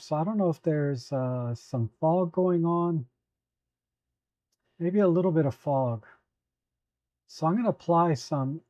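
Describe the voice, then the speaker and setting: A hoarse man hosting a live photo editing tutorial, talking at an easy, halting pace with many fillers while answering viewers. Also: a little high, dark tone, no room sound. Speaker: photo editing livestream host.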